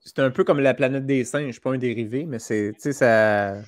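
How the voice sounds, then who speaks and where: A middle-aged man with a Québécois French accent, chatting casually as a panelist in a halting, circling way. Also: mid-range voice. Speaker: podcast panelist. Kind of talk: casual chat.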